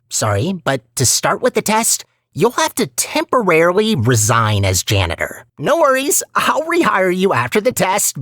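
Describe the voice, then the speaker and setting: A man playing a chatty, anxious robot personality core in a video game, guiding the player in an expressive, conversational and theatrical way. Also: fairly high pitch, no room sound, studio quality, heavily acted. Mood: brisk, apologetic. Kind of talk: animated video game voice acting.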